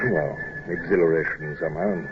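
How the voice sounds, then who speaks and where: An actor playing a reserved, gruffly tender naval commodore in a radio drama, speaking in a clipped, formal, hesitant way. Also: male voice, very deep voice, normal speed, music in the background. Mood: proud, reflective. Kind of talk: old-time radio drama acting and narration. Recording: dull, noisy old radio recording.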